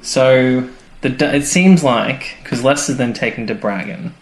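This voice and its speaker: A man co-hosts a casual podcast with an informal, joking delivery and an average-pitched voice. The room is echoey, with faint music underneath. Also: a little slow. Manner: jokey, informal, acts out quotes casually. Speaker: podcast co-host. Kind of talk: casual chat, retelling TV episodes.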